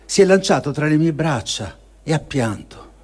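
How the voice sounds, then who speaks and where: A man with a fairly high, husky voice reads a personal diary aloud as a dramatic piece. His delivery is solemn and measured, with emotive weight and pauses between short phrases. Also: animated. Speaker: reader of a testimony. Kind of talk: dramatic reading of first-person war diary.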